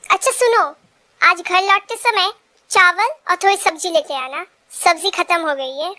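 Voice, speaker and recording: A woman voicing a boatman's homely wife in a story, coaxing and gently instructing. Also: very high-pitched, normal speed, pitched above the performer's own voice.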